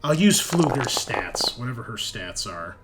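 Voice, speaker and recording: A man narrating as a game master in deliberate, suspenseful short phrases, moving between dramatic storytelling and table talk. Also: medium pitch, sing-song. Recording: music behind the voice. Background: background music.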